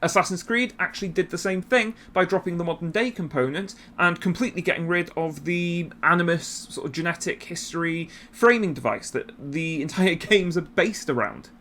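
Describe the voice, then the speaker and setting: A man's voice: on the high side, moderate pace, hardly any pauses, fairly bright tone, slight background noise. A man hosting a YouTube channel, giving casual commentary in a conversational way with self-deprecating asides. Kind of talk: casual video essay commentary.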